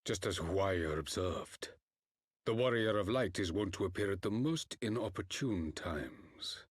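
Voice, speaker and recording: Deep, husky, imperious emperor. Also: male voice, expressive, dry recording, clean studio sound, full character voice. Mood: cold composure. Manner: formal, measured, archaic grand diction. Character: solemn, imperious male emperor, cold and unhurried. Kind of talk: scripted fantasy game cutscene acting.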